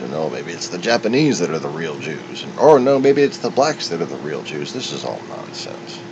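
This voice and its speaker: Low-voiced man rambling over loud rumble. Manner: halting, digressive, opinionated. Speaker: solo commentator. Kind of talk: unscripted rambling monologue. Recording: muffled, with loud steady rumble.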